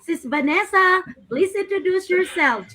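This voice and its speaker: Woman, very high pitch, livestream host, effusive, welcoming introductions. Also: animated, unhurried, pushed and bright, a little hiss or hum. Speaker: host of a live online show. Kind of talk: hosting a livestream, introducing guests.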